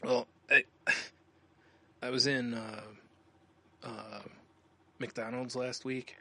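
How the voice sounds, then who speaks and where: Bright, forceful-voiced man chatting casually. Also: medium pitch, level tone, extremely slow, gravelly. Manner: joking, often chuckling. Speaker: podcast co-host. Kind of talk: casual comedic chat and anecdotes.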